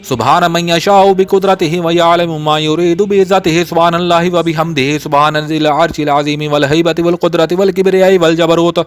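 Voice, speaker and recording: A man reciting a long Arabic supplication in a steady, repetitive chanting rhythm. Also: a little high, quick pace, few pauses, smooth, no echo. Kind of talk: rapid recited prayer.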